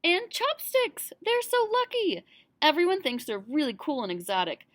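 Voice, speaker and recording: Casting: woman as small boy spoon for a storybook, high, plaintive, envious, swooping and sorry for himself. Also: huge pitch swings, moderate pace, a bit bright, no echo, exaggerated voice. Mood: glum, envious. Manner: plaintive, mumbling. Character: young boy spoon, whiny, envious, feeling blue.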